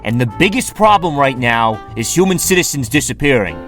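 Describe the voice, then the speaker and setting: An actor playing an irritable, jumpy lone-wolf detective in a 1920s radio drama, curt and prone to stammering. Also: male voice, mid-range voice, big pitch swings, brisk, fairly bright tone, soft background music. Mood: brooding.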